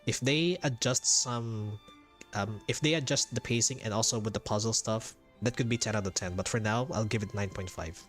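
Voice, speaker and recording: A man giving casual livestream commentary as a game streamer, speaking haltingly and repeating phrases for emphasis. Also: on the low side, fairly bright tone. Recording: game music behind the voice. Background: game music.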